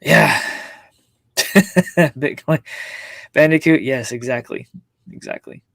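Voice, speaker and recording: A man's voice: medium pitch, vocal fry, fairly bright tone, no echo. Man thinking aloud, close dry sound. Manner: halting and reflective, circling back and repeating words. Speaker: host of a personal live-streamed show. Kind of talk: casual, confiding livestream talk to viewers, reading chat comments.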